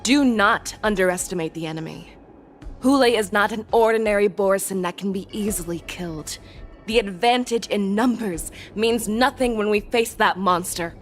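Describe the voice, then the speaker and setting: A woman voicing a composed, shrewd general in a video game, speaking in a commanding, measured, instructive way. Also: medium pitch, faint music behind, lightly acted. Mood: grave, cautioning. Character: composed, shrewd female general, a seasoned hunter. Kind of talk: dramatic video game voice acting.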